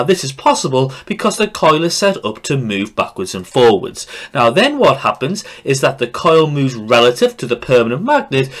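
A male teacher narrates a lesson in a mid-pitched voice that rises and falls a great deal. He explains things step by step, signposting the important points with clear emphasis. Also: a bit bright. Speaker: physics teacher. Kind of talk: scripted lesson narration over slides. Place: voice-over recorded for slides.

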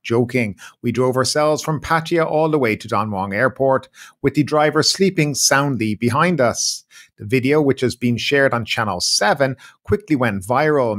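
A middle-aged man hosting a news show, reading the stories in a steady, even newsreader delivery into a studio mic. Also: mid-range voice. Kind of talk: read news bulletin. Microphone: studio mic in frame.

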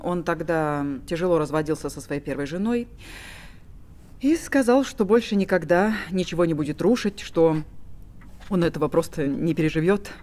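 Actress as bitter poised wife in her forties, mellow low-leaning voice, measured theatrical phrasing, film drama. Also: natural voice. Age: 40s.

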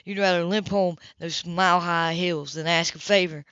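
Gruff, bossy, furious man for audiobook drama, female reader, dull close sound. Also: fairly low pitch, fairly even intonation, normal speed, fairly bright tone, no room sound, natural voice. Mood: furious scolding. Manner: curt, scolding, profane. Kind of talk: dramatic audiobook character dialogue.

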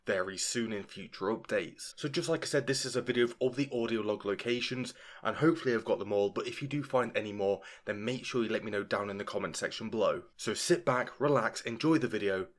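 A man's voice: on the low side, fast, hardly any pauses. A man giving a casual gaming guide voice-over as a video creator, speaking directly to viewers.

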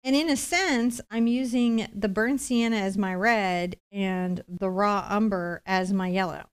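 A woman's voice: mid-range voice, measured pace, clean tone. A middle-aged woman giving a conversational step-by-step painting lesson, chatty and explanatory with reassuring asides. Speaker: painting instructor, host.

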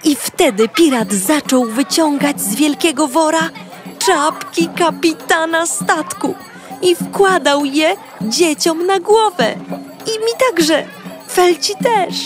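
A woman's voice: very high voice, slow pace, music in the background. A Polish-accented woman telling a children's audio story in the first person as a cheerful young girl.

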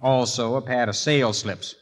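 A man's voice: mid-range voice, steady tone, moderate pace, big-room sound, close to an everyday voice. A man playing a sly scheming outlaw. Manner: sly, confident, drawling. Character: scheming outlaw Joe, cool-headed plotter. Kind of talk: 1950s radio drama acting.

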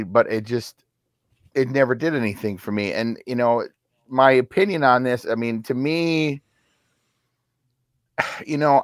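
A middle-aged man in a casual music discussion on a video call, speaking plainly and a little haltingly. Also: medium pitch, clear, resonant tone, dry recording, clean studio sound. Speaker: album review panelist. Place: home record room, indoors.

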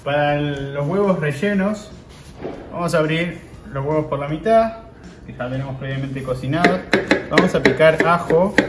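A man in his thirties with an Argentine accent, hosting a cooking show and calmly talking viewers through each step as he works. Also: a little high, moderate pace, heavy background noise. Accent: Argentine Spanish. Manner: talks viewers through steps while working with his hands. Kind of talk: casual cooking demonstration. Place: outdoor grill patio.

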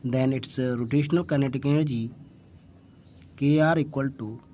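A man with an Indian accent tutoring physics, working through a problem step by step in deliberate, halting phrases. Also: medium pitch, warm tone, slight background noise. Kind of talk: step-by-step worked-problem lesson.

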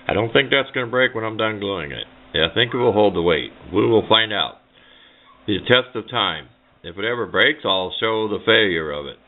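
A man with a low voice talks through a hands-on demonstration like a hobbyist. His delivery is plain-spoken and offhand, wandering as he goes. The sound is tinny and narrow, like a cheap camera microphone. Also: expressive, moderate pace. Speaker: hobbyist presenter. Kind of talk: casual hands-on how-to demonstration. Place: indoors at a workbench.

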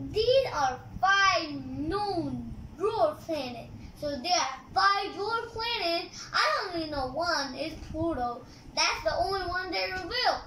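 A young child hosting a kids' book channel, reading aloud haltingly with excited asides. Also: very high-pitched. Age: child, about six to eight. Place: small room indoors. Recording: roomy sound, faint background noise.

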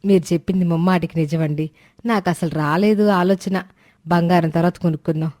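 A woman acts a devoted village wife from a storybook cartoon, low-pitched for a woman. Her talk is homely and plain, recorded dry. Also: steady tone, brisk, darkish tone, no room sound, close to an everyday voice. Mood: agreeable. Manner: homely, colloquial. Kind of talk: animated moral-story dialogue.

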